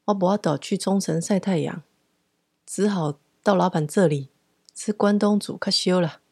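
A woman voicing a blunt, gruff male drinking regular with a Taiwanese accent in a radio drama, cool and colloquial. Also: on the low side, normal speed, a little mellow, no room sound, studio quality. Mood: grumbling.

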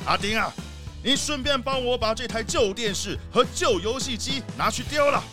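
Casting: man as vain cartoon leopard tycoon, impatient and breezy, high voice with animated swings, for a kids' radio play. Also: normal speed, music in the background. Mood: pleased, boastful. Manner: self-important, breezy, dismissive. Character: vain, impatient, rich male leopard big shot, a father. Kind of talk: children's audio drama acting.

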